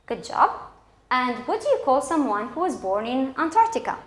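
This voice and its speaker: A woman's voice: high-pitched, very animated, moderate pace. A woman with a Romanian accent presenting a scripted English lesson for schoolchildren, enunciating each example in a didactic way. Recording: echoey, roomy sound.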